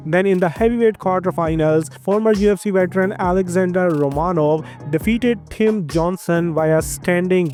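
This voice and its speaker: A man with an Indian accent hosting a fight sports news roundup, delivering scripted highlights briskly. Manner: brisk, energetic delivery with frequent filler transitions. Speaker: host of a combat sports news channel. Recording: faint music behind the voice.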